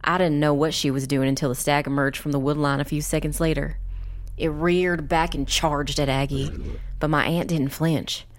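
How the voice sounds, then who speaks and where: Woman as a low-voiced young witch storyteller. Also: fairly even intonation, moderate pace, natural voice. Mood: grave. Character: young witch narrator recounting her family's ordeal, grave. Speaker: first-person narrator. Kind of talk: scripted dark fantasy audiobook narration.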